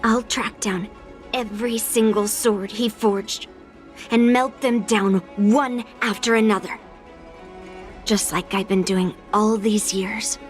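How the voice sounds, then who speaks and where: A woman's voice: mid-range voice, steady tone, slow pace, faint music behind, natural voice. A woman playing a serious, headstrong young swordswoman in a video game, speaking with defiant determination. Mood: defiant resolve. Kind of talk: video game character acting.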